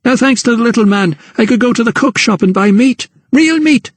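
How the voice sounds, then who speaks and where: A man's voice: high-pitched, animated, a little fast, no room sound, pitched above the performer's own voice. A man voicing a gaunt, fierce, defensive mother who scolds indignantly. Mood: scolding.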